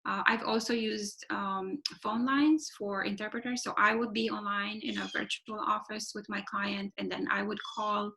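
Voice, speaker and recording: A woman talks through her practice on a webinar call. Her voice is bright and crisp with a gravelly fry at the ends of phrases. She keeps pausing on "um" and "uh" and tags her points with "right?". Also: mid-range voice, steady tone, low-quality recording. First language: Romanian. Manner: explanatory, frequent "right?" tags and fillers. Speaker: clinical evaluator giving a webinar talk. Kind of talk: webinar presentation. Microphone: video call.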